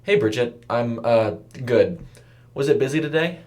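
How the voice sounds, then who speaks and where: A man playing a considerate young male fast food worker with a casual, hesitant way of talking, in an audio story. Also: medium pitch, animated, darkish tone, pitched above the performer's own voice, lightly acted. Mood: casual, friendly.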